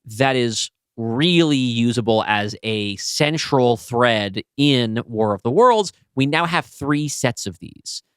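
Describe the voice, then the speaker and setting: A man's voice: medium pitch, expressive, slow pace, hardly any pauses, clean tone, no room sound, studio quality. A middle-aged man co-hosting a podcast, talking through stories in a casual discussion. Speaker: podcast co-host. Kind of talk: casual post-show creative discussion.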